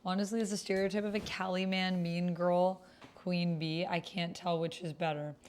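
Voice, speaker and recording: A woman's voice: lowish voice, flat delivery, normal speed, darkish tone, a little hiss or hum. A woman chatting casually and thinking aloud as she plays a text roleplaying game, often questioning her own words. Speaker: streamer playing a text-based roleplaying game. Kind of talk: casual livestream chat, thinking aloud while reading and typing.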